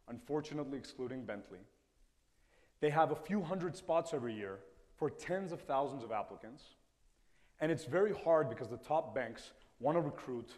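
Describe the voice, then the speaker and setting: A man's voice: mid-range voice, darkish tone. A man in his twenties from Ecuador, a native Spanish speaker, telling personal stories in an earnest, reflective talk on stage. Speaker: TEDx speaker. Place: stage in a lecture hall. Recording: reverberant hall sound.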